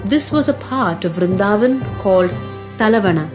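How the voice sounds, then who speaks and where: A woman's voice: medium pitch, lively intonation, moderate pace. A woman narrating a scripted children's mythology story as the storyteller. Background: music.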